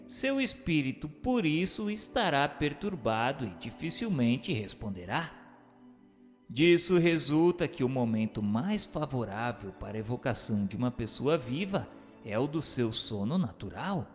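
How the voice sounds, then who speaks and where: A man reads questions and notes as scripted radio drama narration, in a formal, deliberate manner. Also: mid-range voice. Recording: long reverberant hall sound. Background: music.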